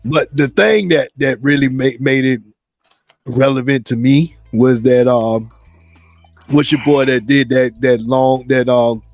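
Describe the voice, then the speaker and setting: A man around forty hosting a casual group chat in animated, slangy talk. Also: medium pitch, measured pace, faint music behind. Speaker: show host.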